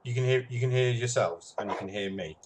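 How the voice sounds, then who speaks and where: A man's voice: mid-range voice, a little fast, crowd noise. A man hosting a stream, chatting casually and hesitantly with many ums and self-deprecating asides. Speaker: streamer host. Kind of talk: casual chat while setting up a stream. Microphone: webcam microphone. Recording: noisy webcam mic.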